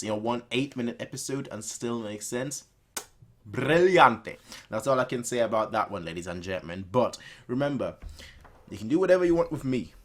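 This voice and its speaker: A man hosting a reaction video, commenting casually and excitedly, often repeating phrases for emphasis. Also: medium pitch.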